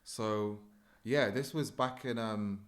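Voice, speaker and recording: A man's voice: lowish voice, slow. A young man hosting a podcast, chatting casually and rambling with lots of fillers. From not born in the UK. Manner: relaxed, rambling with many fillers. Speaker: podcast host. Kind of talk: casual chat.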